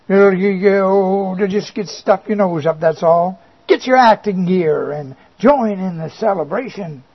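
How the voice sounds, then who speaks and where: A man's voice: high voice, heavily acted. A man voicing a boastful, mocking male devil who coaxes and sneers, with little chuckles. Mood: coaxing. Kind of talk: comic monologue performance. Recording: dull lo-fi recording.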